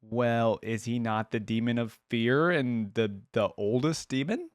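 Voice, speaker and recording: A man's voice: mid-range voice, measured pace, no room sound, clean studio sound. A man hosting a reaction video, giving casual commentary and musing aloud as he goes. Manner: musing, thinking aloud, repeats phrases he hears.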